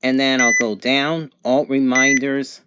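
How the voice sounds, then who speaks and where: A person giving a casual product review and demonstration in a halting, hesitant way. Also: medium pitch, flat delivery. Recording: clear background noise, amateur home recording.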